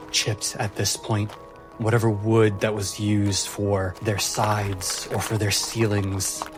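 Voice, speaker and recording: A man narrating a tabletop fantasy game as game master, in deliberate, atmospheric phrases broken by pauses. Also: on the low side. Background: music.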